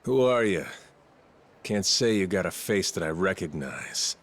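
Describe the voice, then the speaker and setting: An actor playing a brash, rough-spoken yakuza man in a game dub. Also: low voice, animated, a little slow. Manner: brusque, rough-edged. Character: tough, brash yakuza man with a protective streak.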